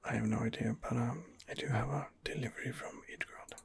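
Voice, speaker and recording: A man narrating an ASMR game playthrough in a soft, hesitant half-whisper, thinking aloud as he goes. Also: mid-range voice, fairly even intonation, a little fast. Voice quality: soft, breathy half-whisper with vocal fry. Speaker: gamer role-playing a video game character.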